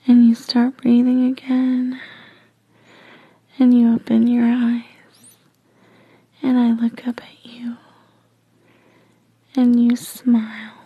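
Breathy whispering woman, slow and intimate. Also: on the high side, no room sound, muffled recording. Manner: hushed, slow, sensual delivery. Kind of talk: intimate whispered erotic audio narration, first person.

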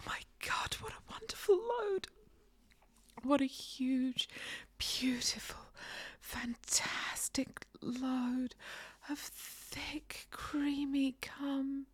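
Woman whispering breathy and slow, high-pitched, close and dry sound. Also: no echo. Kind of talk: intimate whispered audio roleplay.

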